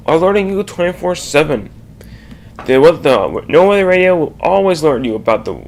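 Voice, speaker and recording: A man narrating a scripted slide presentation in a halting way, often stumbling and correcting himself. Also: on the high side.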